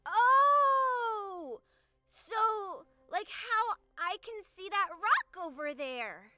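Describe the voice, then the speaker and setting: Audio drama role: a playful, blunt small child, very high and bright, childlike and giggly. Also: female voice, very animated, measured pace, dry recording, heavily acted. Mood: curious, blunt. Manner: childlike, giggly, simple wording. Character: small playful child in an inner world, blunt, curious, skittish.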